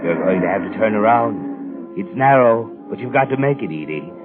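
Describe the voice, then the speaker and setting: A man acts an ominous male narrator of 1940s radio suspense, very low-pitched and fatalistic. He speaks with knowing, taunting insinuation. Music plays behind him on a muffled, aged recording. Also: expressive, brisk, lightly acted. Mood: urgent, insinuating.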